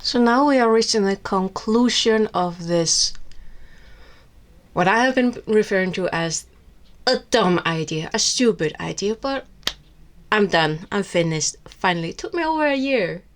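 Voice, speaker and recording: A woman's voice: medium pitch, animated, unhurried. A woman from Iceland with an Icelandic accent, whose first language is Icelandic, candidly reviewing books on her vlog in a wry, rambling way with many restarts. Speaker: book vlogger. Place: seated indoors with books on her lap.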